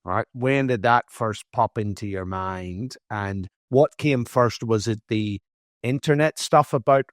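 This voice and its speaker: Low-voiced Irish man hosting a podcast. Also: measured pace, no room sound, clean studio sound. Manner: chatty, repeats starts, casual swearing. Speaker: podcast host. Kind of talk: casual interview chat.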